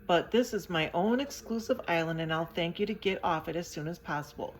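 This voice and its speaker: A woman's voice: lowish voice, a little fast, close to an everyday voice. A woman voicing a fussy, pessimistic little man who complains and wails about everything. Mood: grumbling. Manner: whining, complaining, wailing.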